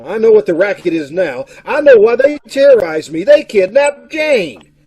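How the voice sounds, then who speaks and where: A man's voice: sits high, very animated, normal speed, mellow. A man playing a distraught, fretful young fellow in an old-time radio mystery. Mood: anxious, distraught. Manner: fretful, melodramatic.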